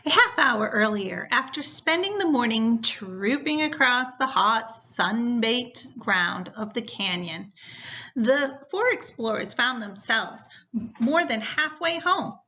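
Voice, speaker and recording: A woman teacher reading a story aloud to students in a deliberate, instructional way. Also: fairly high pitch, sing-song, sharp tone. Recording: dull, lo-fi sound.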